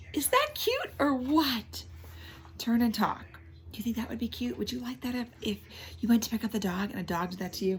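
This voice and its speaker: A woman reading a children's book aloud in an animated storytelling style, pausing like a teacher to prompt listeners with questions and stressing key words. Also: mid-range voice, breathy edge. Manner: instructive, prompting, emphatic repetition of key words.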